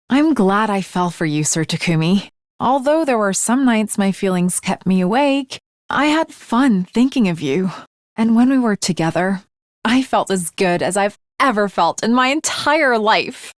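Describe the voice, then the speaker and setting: A woman's voice: mid-range voice, lively intonation, unhurried, no echo, clean studio sound, natural voice. A woman voicing an earnest, lovestruck teenage girl swordswoman in an anime video game, with formal, archaic, dramatic diction. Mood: tender, wistful.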